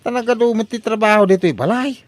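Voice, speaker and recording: A woman's voice: on the high side, fast talker, no echo, close to an everyday voice. A woman playing an exasperated householder, scolding and complaining in an Ilocano comedy radio drama.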